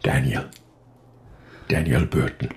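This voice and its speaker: An actor playing a guarded scientist in a radio drama, hesitant and evasive, speaking in clipped phrases. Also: male voice, extremely low pitch, fairly even intonation, slow, heavily acted. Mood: secretive, uneasy. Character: guarded male scientist confessing uneasily to dark secrets.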